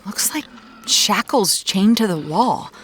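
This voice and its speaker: An actress playing a determined, blunt amateur detective in an audio drama, matter-of-fact and wry. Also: female voice, medium pitch, expressive, slow pace, a little hiss or hum. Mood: wry, focused.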